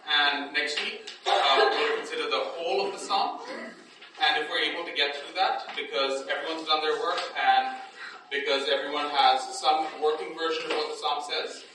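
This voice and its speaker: A man's voice: a little high, steady tone, moderate pace, background noise. A man leading a Bible study class, explaining casually with frequent "right?" checks and restarts, then praying aloud. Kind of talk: casual teaching, then spoken prayer. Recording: echoey room, distant mic, faint ambience.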